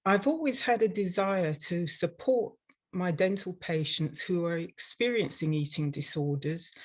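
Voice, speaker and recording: An older woman with a London English accent presents a prepared webinar. Her voice sits a little low for a woman. She speaks in a measured, instructional manner, like an experienced instructor addressing learners. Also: darkish tone. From London, England. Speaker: dental hygienist and instructor presenting.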